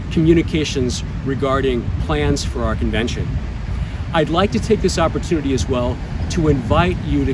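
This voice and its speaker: American bishop in his sixties, noisy outdoor recording. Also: mid-range voice. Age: 60s. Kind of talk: scripted pastoral announcement to camera. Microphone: lapel mic. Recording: clear outdoor traffic and ambient noise.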